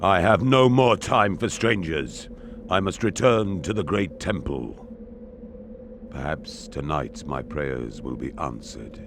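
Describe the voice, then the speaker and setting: A man's voice: rumbling low, animated, slow, background noise, full character voice. An actor playing a stern, proud warrior king in a video game, brooding, commanding and scornful. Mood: brooding, grave. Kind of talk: video game cutscene acting.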